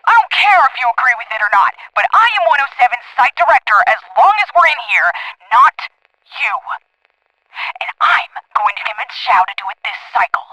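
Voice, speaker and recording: A woman's voice: fairly high pitch, very animated, brisk, pushed and bright, no echo, exaggerated voice, poor audio. A woman in an audio drama playing an indignant site director, argumentative and commanding. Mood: outraged.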